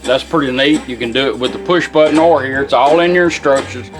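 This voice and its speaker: A man's voice: mid-range voice, fairly quick. Plain-spoken man demonstrating, music behind him. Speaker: radio restorer demonstrating a product. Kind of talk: informal hands-on product demonstration.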